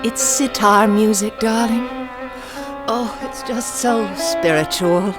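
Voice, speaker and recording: An actress playing a wealthy, gullible wife and spiritual seeker, speaking in an earnest, airy, gushing way in a radio drama. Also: female voice, mid-range voice, slow pace, loud music behind the voice, close to an everyday voice. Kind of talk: radio mystery drama acting.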